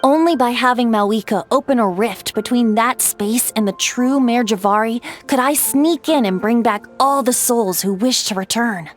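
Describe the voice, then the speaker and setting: A woman's voice: a little high, normal speed, few pauses, natural voice. A woman voicing a playful, wistful ancient spirit in the shape of a youthful bard in a video game, with a lilting, teasing, theatrical delivery. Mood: lighthearted. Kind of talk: scripted game character storytelling. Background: background music.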